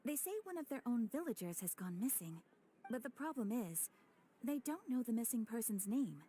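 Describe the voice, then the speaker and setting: A woman voicing a calm, courteous female guild receptionist who speaks in a formal, measured way, with soft game music behind. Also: a little high, slight background noise. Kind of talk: game cutscene voice acting.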